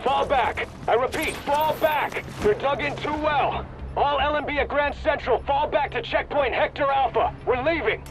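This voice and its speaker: An actor playing an excited soldier in a video game, shouting urgently in the middle of battle. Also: male voice, extremely high pitch, normal speed, background noise.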